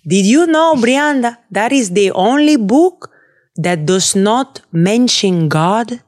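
Bubbly woman hosting a slow, chatty podcast. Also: on the high side. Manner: bubbly, animated, laughs often. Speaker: podcast host. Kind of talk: casual chat about the Bible.

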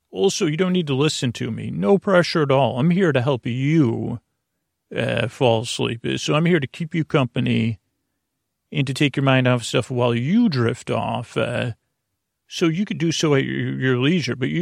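A man with a mid-pitched voice full of creak hosts a bedtime podcast. He meanders through his monologue, stopping, restarting and drifting off the point. The sound is dry, with no room around it. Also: no room sound. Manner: meandering, halting, circles back and trails off. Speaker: podcast host. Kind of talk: rambling sleep podcast intro monologue.

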